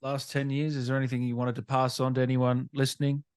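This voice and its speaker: A man's voice: mid-range voice, level tone, quick pace, smooth. A man with an Australian accent, hosting a casual interview in an enthusiastic, chummy way. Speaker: interviewer, gaming channel host.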